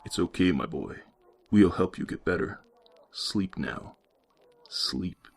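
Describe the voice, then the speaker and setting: Older asylum doctor, patronizing and calm, very low, gravelly tone, played by a male narrator. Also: takes it slowly, heavily acted. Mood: soothing.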